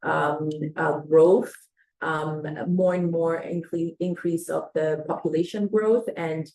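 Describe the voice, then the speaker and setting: Low-voiced woman presenting a webinar. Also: steady tone, takes it slowly, darkish tone, low-quality recording. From Switzerland. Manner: many fillers and restarts, loose and conversational.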